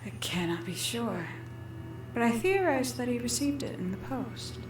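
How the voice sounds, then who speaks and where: A woman playing a calculating young villain in a video game, confessing her schemes in measured, deliberate, theatrical storytelling. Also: a little high, very animated. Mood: sly, composed. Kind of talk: scripted video game character monologue. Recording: echoey, with music behind.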